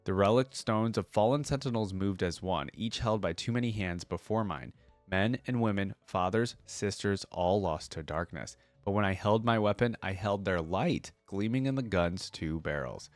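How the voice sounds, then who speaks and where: A man's voice: lowish voice, normal speed, no room sound, faint music behind. A man reading story text aloud and reacting casually in between, as a video creator commenting on it.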